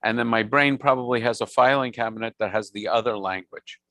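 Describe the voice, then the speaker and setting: A man's voice: medium pitch, fairly quick, bright, forceful tone, no room sound. A man in his sixties who leads services, chatting casually and telling rambling stories with frequent self-corrections. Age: 60s. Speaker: service leader. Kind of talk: casual chat. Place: synagogue service.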